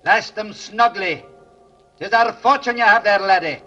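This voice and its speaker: Ghostly old sea dog, high and bright, pirate dialect, greedy and gloating, muffled vintage radio sound. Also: male voice. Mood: sly gloating. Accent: stage pirate dialect. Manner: cackling, archaic sailor talk, drawn-out delivery. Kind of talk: old-time radio acting.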